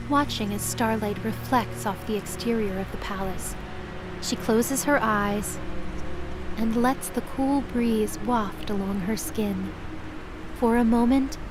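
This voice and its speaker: A woman's voice: fairly high pitch, rough recording. A woman reading scripted, dramatic fiction as the narrator of an audio drama. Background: music.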